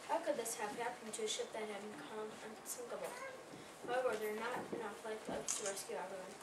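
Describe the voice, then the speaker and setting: Female narrator, high voice, scripted reading, distant and echoing. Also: fairly even intonation, brisk. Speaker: readers theater narrator. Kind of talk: scripted narration read aloud. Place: library readers theater performance, indoors. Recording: echoey, distant, very noisy room.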